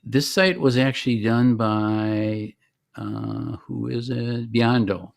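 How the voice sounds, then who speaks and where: A man presenting a software demo in an informal, conversational way, as the founder showing his product on screen. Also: medium pitch, slow pace, creaky. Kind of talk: informal screen-share demonstration.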